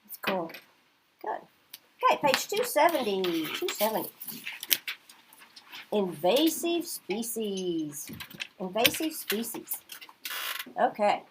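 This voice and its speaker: A woman's voice: mid-range voice, very animated, takes it slowly, long pauses, noisy background. A middle-aged woman from Oklahoma teaching a class, chatty and checking in with her students as she goes. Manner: chatty, checks in with "okay?" often.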